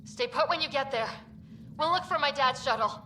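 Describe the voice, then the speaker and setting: A woman's voice: high voice, moderate pace. Young sci-fi scout lady, level-headed, dry n casual, game ambience noise behind her. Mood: concerned. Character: young female recon specialist, level-headed, curious explorer. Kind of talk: naturalistic video game dialogue acting. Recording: game audio over loud ambient noise.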